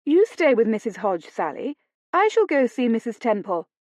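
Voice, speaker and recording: A woman's voice: on the high side, sing-song, moderate pace, a little mellow, dry recording, voice pushed up. Woman acting a poised, coolly polite southern English lady. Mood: firm. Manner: composed, polite, cool.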